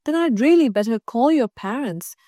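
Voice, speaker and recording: A woman's voice: fairly high pitch, animated, moderate pace, dark tone, no echo, natural voice. A woman voicing a fussy, doting male school principal in a read-aloud story.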